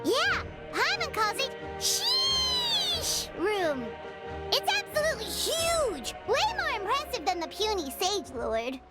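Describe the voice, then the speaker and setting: Squeaky, perky little fairy companion. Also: female voice, huge pitch swings, takes it slowly, heavily acted. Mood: excited. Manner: perky, exclamatory. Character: small, cheeky, chatty floating fairy companion, childlike girl. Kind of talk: video game voice acting. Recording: loud game music behind.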